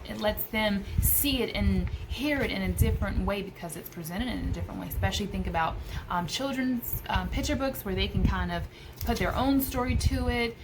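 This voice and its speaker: Woman in her 30s chatting to camera, mid pitch, stresses her words, bit of noise behind her. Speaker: homeschool vlogger. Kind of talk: casual advice talk to camera. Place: small room at home by a window. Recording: clearly noisy room recording.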